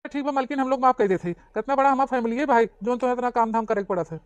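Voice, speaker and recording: Woman doing a blunt cartoon village husband, awadhi accent, talks fast, highish voice, dull lofi dry recording. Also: close to an everyday voice. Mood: forgiving. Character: exasperated village husband, blunt but forgiving. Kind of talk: comedy cartoon acting.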